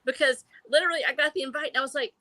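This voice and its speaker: A woman's voice: high voice, racing, pushed and bright, dry recording. A woman in her early sixties chatting casually and effusively as a guest on a panel show. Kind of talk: casual chat.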